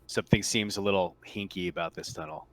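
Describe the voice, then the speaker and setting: A man running a tabletop roleplaying game as game master, describing scenes casually in halting phrases. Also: sits low, rough recording.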